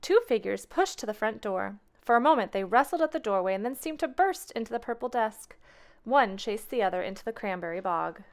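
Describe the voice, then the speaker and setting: A woman storyteller reading a children's tale aloud with expressive narration. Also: a little high, fairly quick.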